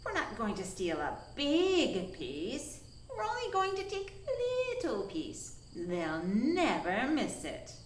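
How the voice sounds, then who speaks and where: A woman's voice: high-pitched, huge pitch swings, slow pace, hardly any pauses, roomy sound, recorded from a distance, a little hiss or hum, heavily acted. Woman playing a sly, swooping coyote trickster. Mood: soothing, sly. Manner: wheedling, persuasive, dismissive. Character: sly male coyote trickster, lying and scheming.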